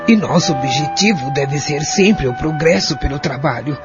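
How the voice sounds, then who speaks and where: An actor voicing a calm, devout elderly wife who gently moralises in an audio soap opera. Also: male voice, fairly high pitch, normal speed, natural voice. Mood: consoling. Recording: lo-fi audio under clear music.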